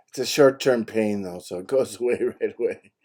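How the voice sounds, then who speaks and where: An older man with a low, soft and very mellow voice. He tells his stories casually over the phone, in blunt and salty language. Chuckles tend to creep into his way of talking. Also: moderate pace, slight background noise. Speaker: phone call participant recounting his medical history. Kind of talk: casual chat, anecdotal storytelling. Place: phone conversation.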